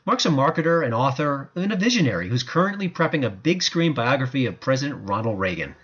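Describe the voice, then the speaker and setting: Male podcast host, husky voice, animated pitch, opinionated, lo-fi sound. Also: fast talker. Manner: emphatic, lively delivery with frequent "frankly". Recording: dull lo-fi podcast audio.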